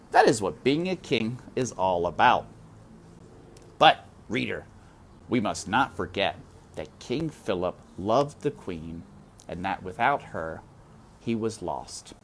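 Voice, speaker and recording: Male storyteller reading chapters aloud, unhurried delivery, wide swooping pitch, speaks straight to the listener. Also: a little hiss or hum. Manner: expressive, speaks to the reader directly. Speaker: reader. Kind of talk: children's book read-aloud.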